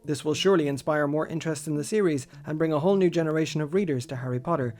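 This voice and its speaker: A man's voice: a little high, rapid-fire, darkish tone, soft background music. A man reading written statements aloud.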